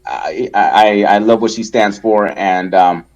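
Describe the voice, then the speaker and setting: A man from El Salvador, a guest in a casual interview, talking haltingly with many restarts and fillers and swearing freely. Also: low voice, vocal fry, a little mellow, muffled recording. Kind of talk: casual conversation.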